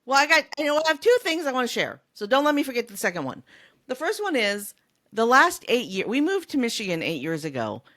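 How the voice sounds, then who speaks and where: A woman co-hosting a podcast, chatting casually and in an animated way about a book. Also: on the high side, a little fast. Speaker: podcast co-host.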